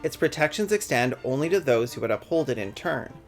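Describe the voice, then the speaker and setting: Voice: mid-range voice, expressive, brisk. A person narrating a scripted video essay in a sardonic, conversational tone, cursing freely. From Canada.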